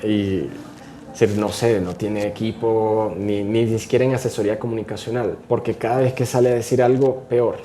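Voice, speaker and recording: Low, mellow-voiced man vlogging. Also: level tone, normal speed, a little hiss or hum. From Venezuela. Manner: emphatic, opinionated, varying pace. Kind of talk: political commentary to camera.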